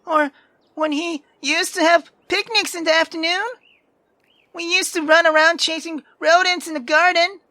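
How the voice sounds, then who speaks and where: A woman's voice: extremely high pitch, steady tone, moderate pace, pushed and bright, no echo, voice pushed up. A woman voicing a brash, sarcastic sixteen-year-old girl who is theatrical, teasing and foul-mouthed in a visual novel read-aloud. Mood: exasperated.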